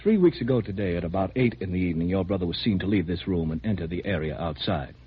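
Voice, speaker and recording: Army security major: American, low, animated pitch, clipped and probing, 1950s radio drama with muffled sound. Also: male voice, fast, husky, full character voice. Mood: guarded. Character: brisk, guarded army major interrogating a visitor. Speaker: military security officer. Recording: muffled, hissy old radio recording.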